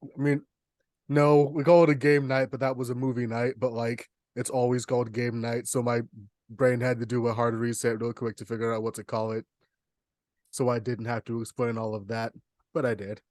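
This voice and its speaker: A man co-hosting a podcast in casual conversation, with measured, wry and sometimes hesitant phrasing. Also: mid-range voice, level tone, a little mellow, no echo. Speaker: podcast co-host. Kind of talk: casual chat, discussing films.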